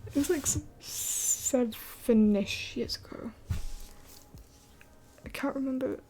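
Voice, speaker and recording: A woman's voice: high voice, dark tone, slight background noise. A woman in her twenties hosting a late-night live Q&A, rambling with many fillers and often dropping to a whisper. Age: 20s. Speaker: livestream host.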